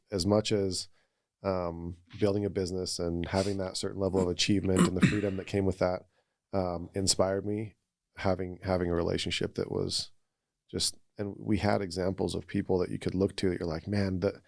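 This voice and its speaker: A man's voice: low-pitched, flat delivery, moderate pace, gravelly, dry recording, studio quality. A man, thirty or older, talking casually and reflectively as a podcast guest, with an earnest, conversational way of telling personal stories. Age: 30s or older.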